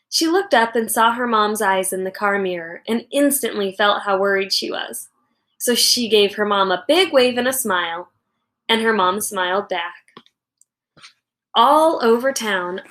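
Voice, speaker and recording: Higher-pitched woman reading a children's story. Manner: animated, acts out characters' lines. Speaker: teacher reading a book aloud. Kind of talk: expressive children's storybook narration.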